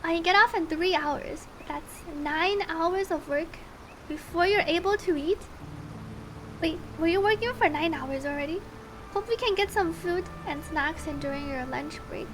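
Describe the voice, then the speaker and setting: A woman's voice: extremely high pitch, moderate pace, a bit bright, slight background noise. A woman game streamer chatting casually and reading on-screen text aloud in a chatty, giggly way. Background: game music.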